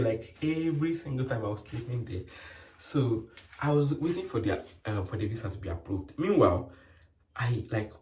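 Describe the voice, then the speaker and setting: Young nigerian guy rambling through a vlog story, lowish voice, far from the mic. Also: a little mellow. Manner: chatty, rambling, lots of fillers and restarts. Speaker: nurse vlogger. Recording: roomy sound from a distant mic.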